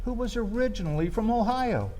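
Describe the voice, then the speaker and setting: A man telling a first-person comic reminiscence as a storyteller, in a wistful, hesitant manner with pauses. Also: sits high, lively intonation, darkish tone.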